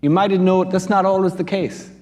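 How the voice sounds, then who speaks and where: A man's voice: high voice, level tone, quick pace, darkish tone. Irish man speaking on a panel. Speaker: business school speaker on a panel. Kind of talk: panel discussion answer.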